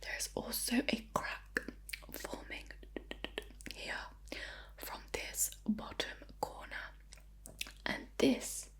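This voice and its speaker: A woman whispering breathily in an ASMR roleplay, with a fussy, deliberate, mock-scolding manner. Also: a bit bright. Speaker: ASMR creator playing a screen cleaner.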